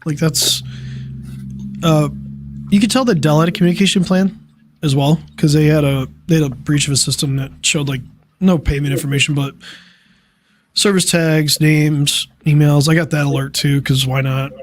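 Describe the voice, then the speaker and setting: A man hosting a casual tech podcast, tired and dealing with allergies, talking in a loose, relaxed way. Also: on the high side, normal speed. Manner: relaxed, loose, many fillers. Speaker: podcast host. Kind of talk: casual chat.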